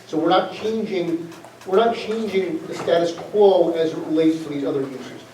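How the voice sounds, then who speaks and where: A man calmly explaining zoning regulations at a public hearing. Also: fairly high pitch. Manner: measured, explanatory. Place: town meeting room. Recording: echoey hall from far off with noise.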